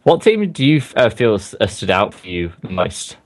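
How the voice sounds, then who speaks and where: Young man, hoarse voice, lowish pitch, rambling esports caster. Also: very animated, moderate pace. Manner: rambling, many fillers and restarts. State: losing his voice. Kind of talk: casual game commentary.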